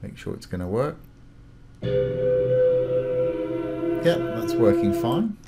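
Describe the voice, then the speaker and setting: A man hosting a casual step-by-step software tutorial, informally talking through each action as he goes. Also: fairly low pitch, sing-song, slow, loud music behind the voice. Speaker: tutorial host. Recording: clear background noise, keyboard typing.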